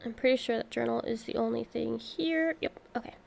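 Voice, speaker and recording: A woman's voice: a little high, a little fast, gravelly, a little mellow. A young adult woman giving casual commentary as she streams a video game playthrough.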